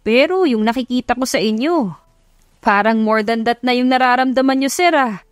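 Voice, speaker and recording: A woman's voice: a little high, moderate pace, no room sound, clean studio sound, natural voice. A woman voicing a cheeky male assistant who banters playfully with his boss in an audio love story. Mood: teasing.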